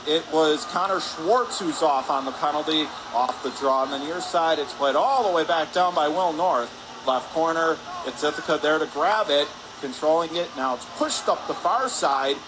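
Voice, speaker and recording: A man's voice: a little high, moderate pace. A man doing live radio play-by-play of ice hockey in short, emphatic running phrases. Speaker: play-by-play commentator. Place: indoor ice hockey arena. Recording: noisy arena ambience behind.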